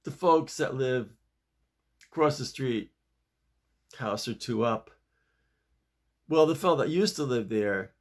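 An older man in his sixties or beyond chatting casually to camera in a folksy, halting way, leaning on repeated emphatic phrases. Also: mid-range voice, animated. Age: 60s or older. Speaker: vlog host and amateur playwright. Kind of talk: casual rambling chat to camera. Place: small home study, indoors.

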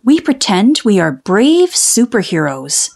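Slow, lively woman reading to children. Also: mid-range voice, vocal fry. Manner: careful, deliberate, stretching out key sounds. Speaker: read-aloud storyteller for children. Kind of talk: scripted children's story and phonics reading.

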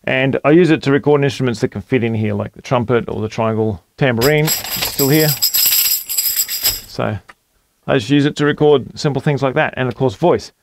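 A man's voice: mid-range voice, moderate pace, gravelly, over music. A man in his forties casually walking through how he recorded a song at home, rambling with fillers and self-corrections. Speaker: home music producer and channel host. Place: small home studio room at a computer desk.

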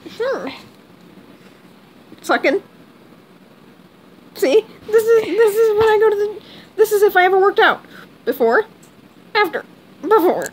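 A teenage girl talks into a phone held at arm's length in a dark bedroom. Her voice sits very high and swings up and down, breaking into giggles as she teases. A faint hiss sits under it. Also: expressive, a little slow, long pauses, poor audio. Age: teens. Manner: giggly, teasing. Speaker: vlogger. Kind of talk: casual chat. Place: dim bedroom at night.

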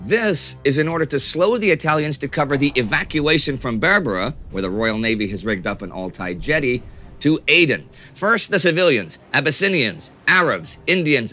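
A man's voice: on the high side, expressive, moderate pace, pushed and bright, soft background music. A middle-aged man hosting a history show, reading scripted narration with emphatic, dramatic storytelling delivery. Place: small furnished study set, indoors.

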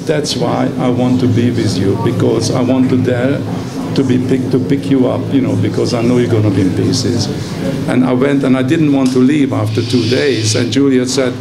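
Older Hungarian-accented man, gravelly voice. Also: mid-range voice, fairly even intonation, moderate pace, hardly any pauses, over a noisy crowd. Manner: rambling, warm anecdotes, frequent chuckles and "you know". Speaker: award recipient giving a speech. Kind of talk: unprepared acceptance speech. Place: consulate reception room, indoors. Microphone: stand microphone.

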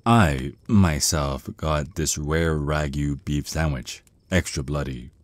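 Man acting a deep-voiced, shy, stammering vampire. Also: dry recording. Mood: nervous, eager. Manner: nervous stammering, halting, awkward rambling. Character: shy, flustered young male vampire on a first date. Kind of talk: ASMR roleplay acting.

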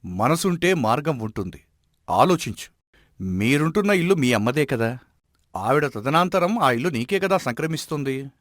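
A man playing a wise, respected village elder in an animated moral story, giving advice in a measured, admonishing way. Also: medium pitch, no echo, clean studio sound, lightly acted. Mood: calm advising.

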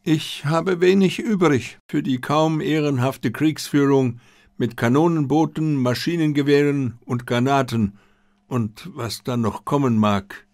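A man voicing an old, proud, disciplined Japanese army general who speaks in a formal, measured way. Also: medium pitch, a little mellow, no echo, studio quality, voice pushed up, close to an everyday voice. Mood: stern, resolute.

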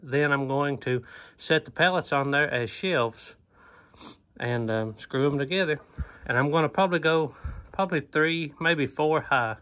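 A man's voice: fairly high pitch, unhurried, a bit bright, muffled recording. A man, chatting easygoing and folksy about a barn project, with plenty of ums and uhs, recorded on a handheld phone in a wooden barn. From Eastern Kentucky. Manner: easygoing, folksy, with many "um" and "uh" fillers. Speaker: homesteader vlogger. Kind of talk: casual DIY vlog talk. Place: inside an old wooden barn. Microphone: phone camera at arm's length.

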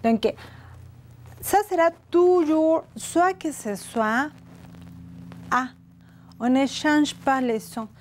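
A woman in her forties, a native Spanish speaker with a Mexican accent in French, teaching a beginner language lesson in a deliberate, carefully enunciated way. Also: sits high, animated, a little hiss or hum. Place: indoor studio set with chalkboard. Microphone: lapel.

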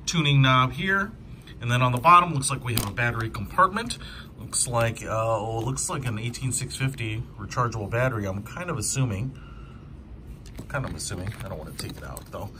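A man's voice: mid-range voice, animated, fairly quick, noisy background. A man giving a casual hands-on product review in an informal, enthusiastic, conversational way.

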